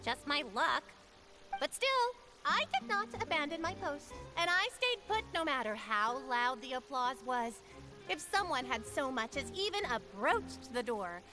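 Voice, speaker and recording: A woman's voice: high voice, very animated, normal speed. A woman voicing a dutiful, earnest female Melusine guard in a game. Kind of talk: game cutscene voice acting. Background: game music.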